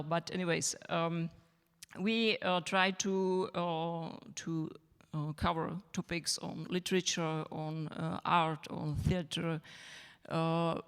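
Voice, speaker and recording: A woman's voice: lowish voice, smooth. A woman speaking English as a magazine editor on a festival panel, hesitant and full of fillers. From Czech Republic. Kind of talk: panel discussion remarks. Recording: reverberant hall.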